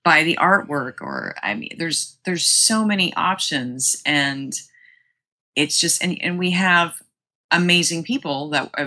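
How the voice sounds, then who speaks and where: A woman with a notably low voice that has a bright, forceful edge. She talks in a casual interview style, as an enthusiastic guest who gushes about her job. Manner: enthusiastic, effusive. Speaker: guest, museum publicity director.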